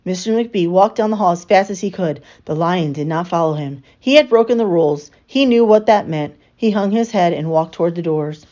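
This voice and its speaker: A woman reading a picture book aloud as an expressive storyteller for children. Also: on the low side, fairly quick, few pauses. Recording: slightly dull, lo-fi sound.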